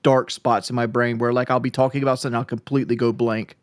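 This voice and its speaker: A man's voice: medium pitch, flat delivery, quick pace, dry recording, clean studio sound. A man co-hosting a livestream, rambling casually with a slight stutter. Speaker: livestream co-host.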